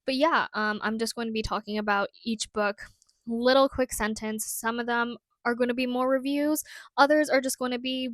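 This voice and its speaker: A woman's voice: a little high, steady tone, moderate pace, fairly bright tone, dry recording. A young woman with a cold, chatting casually through a recap of her year's reading as a book vlogger, with many fillers. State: fighting a cold.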